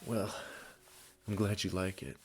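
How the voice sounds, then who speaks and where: Deep, unhurried, gentle guardian gardener played by a man. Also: flat delivery. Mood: warm, content. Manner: soothing, unhurried, reassuring, with soft chuckles. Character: gentle, wise male supernatural gardener tending souls' gardens. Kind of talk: intimate comforting audio roleplay, spoken to the listener.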